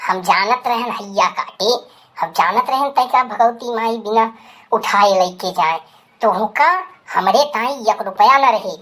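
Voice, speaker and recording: A woman's voice: mid-range voice, measured pace, a bit bright. A woman voicing a dramatic, scolding village woman with an Awadhi accent in a rural cartoon comedy. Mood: indignant, angry. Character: loud village women, scandalized gossips and an angry betrayed wife.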